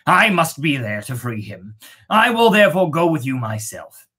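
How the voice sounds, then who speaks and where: A storyteller reads a wise male magician from a fairy tale. He speaks formally and with assurance, offering help. The voice is husky and moves in pitch. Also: very animated, moderate pace, pushed and bright, full character voice. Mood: assured.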